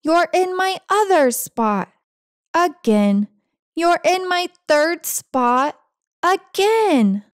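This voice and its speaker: A woman's voice: very high voice, animated, very slow, no echo, clean studio sound, full character voice. A woman voicing a grumpy, dramatic, self-pitying house cat with sarcastic, theatrical complaining in a picture-book read-aloud. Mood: bored, mopey.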